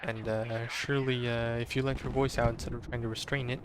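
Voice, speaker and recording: A man's voice: medium pitch, level tone, brisk, noisy background. A man casually commentating his own strategy game match, rambling with fillers, his voice strained and sore. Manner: rambling, many fillers and restarts. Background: game gunfire and explosions.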